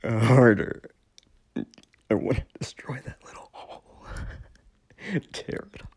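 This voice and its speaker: A man playing a domineering, menacing lover in intimate erotic audio roleplay, murmuring in halting phrases with a breathy, husky voice. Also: medium pitch, fairly even intonation, a little mellow, heavily acted. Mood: aroused. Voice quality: breathy, husky, gravelly whisper.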